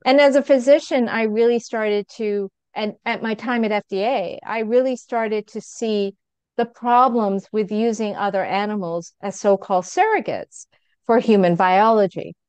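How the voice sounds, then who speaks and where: A woman's voice: medium pitch, normal speed, darkish tone, clear, resonant tone, no echo. A middle-aged woman with an American accent, a physician being interviewed, speaking earnestly with frequent fillers and easy laughter. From England. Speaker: guest, physician. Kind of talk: conversational interview answer.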